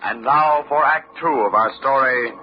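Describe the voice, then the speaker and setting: A man's voice: mid-range voice, normal speed, highs cut off. A man giving scripted dramatic narration as the storyteller and announcer of an old-time radio mystery. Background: music.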